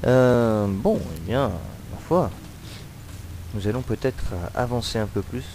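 A man's voice: medium pitch, takes it slowly, a little mellow, slight background noise. Stuffy-nosed man chatting over a game. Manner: rambling, hesitant, many fillers. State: has a cold. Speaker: let's play commentator. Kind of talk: casual gameplay commentary.

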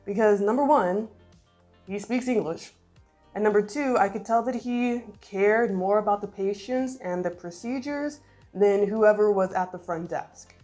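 A young woman talks straight to the camera in a chatty vlog, her voice still a little congested. She sounds animated, with light music underneath. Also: mid-range voice, unhurried. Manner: chatty, animated, addresses viewers directly. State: slightly congested, recovering voice. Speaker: beauty vlogger. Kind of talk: casual vlog tour narration. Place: indoor room at home, talking to camera.

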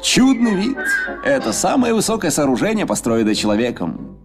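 An unflappable cartoon gentleman, courteous and refined. His voice is a little high and swings widely in pitch, over loud music. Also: wildly swooping pitch, moderate pace. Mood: calm, pleased. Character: unflappable tall English gentleman adventurer. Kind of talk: dubbed cartoon acting.

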